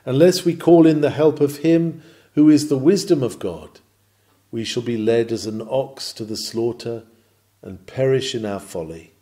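A man's voice: mid-range voice, a little slow, mellow. A man reading a scripted sermon in a solemn, emphatic, rhetorical manner. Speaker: reader of a historical sermon. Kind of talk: sermon, read from a script with oratorical delivery.

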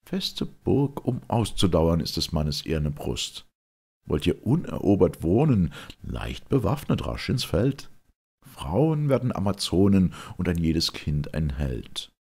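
A man's voice: low voice, sing-song, slow, creaky, a little mellow, slightly rough, full character voice. A man voicing a proud, reckless winged youth who yearns for war, declaiming verse with passion. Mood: defiant. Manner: declamatory, impassioned verse delivery.

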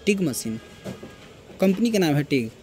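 A man's voice: a little high, very animated, a little slow, faint music behind. A young man from Bihar, chatting casually into his phone camera as a vlogger, first on the road, then in a small tool shop. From Bihar, India. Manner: chatty, mixes local dialect with Hindi. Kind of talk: casual vlog narration and shop chat. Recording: loud traffic and street noise.

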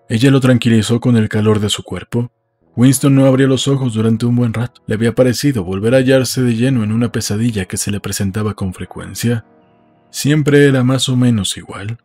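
A man's voice: medium pitch, mellow. A man reading scripted literary narration as the narrator of an audiobook.

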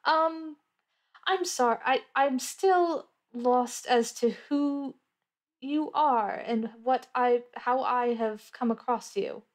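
A woman with a fairly high voice takes part as a player in tabletop roleplay. She speaks casually, in a hesitant, questioning way. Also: takes it slowly. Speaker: player in an actual-play podcast.

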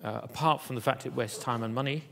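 A man giving a conference keynote in an auditorium, talking in a halting way with many fillers and restarts. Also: mid-range voice, creaky, a bit bright, slight background noise. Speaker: keynote speaker.

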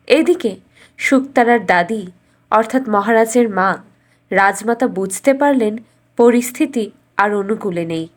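A woman reading a scripted fairytale as a storyteller. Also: fairly high pitch.

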